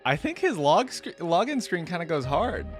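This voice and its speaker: A man's voice: high voice, big pitch swings, moderate pace. A man giving casual reaction commentary as a video host, musing aloud in slangy, filler-heavy phrases. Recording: music playing behind voice. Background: loud game music.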